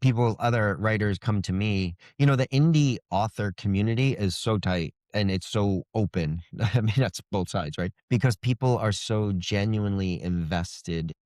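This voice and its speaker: A man's voice: fairly low pitch, moderate pace, few pauses, darkish tone, dry recording, studio quality. A man speaking as an author guest in a casual interview, earnest and reflective. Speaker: guest, indie fantasy author.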